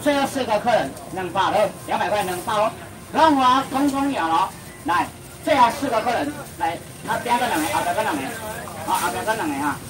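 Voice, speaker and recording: A young man with a Taiwanese accent auctioning seafood, calling out prices in a rapid sing-song patter and bantering with the crowd at an outdoor market. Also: high-pitched, expressive. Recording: distant voice over loud market noise.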